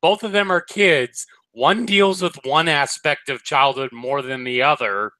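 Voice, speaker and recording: A man's voice: fairly high pitch, a little slow, pushed and bright, no echo. A man co-hosting a casual review chat, wry and blunt with jokes. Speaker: co-host.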